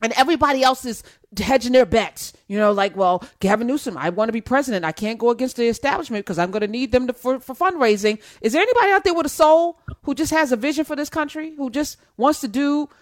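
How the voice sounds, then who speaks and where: A woman hosting a casual political chat, talking in an animated, emphatic and teasing way. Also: high voice, quick pace, dry recording, studio quality. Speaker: host.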